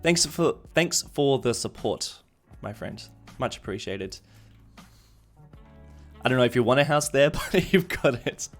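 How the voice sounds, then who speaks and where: A man's voice: medium pitch, animated, unhurried. A man casually commenting on a game live stream, thinking aloud as he chats with viewers. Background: background music.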